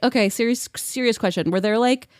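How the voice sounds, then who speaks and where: Higher-voiced, playful woman. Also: a little fast, clear, resonant tone, no room sound, clean studio sound. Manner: playful, jokey riffing. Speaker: podcast co-host. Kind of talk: casual comedic banter.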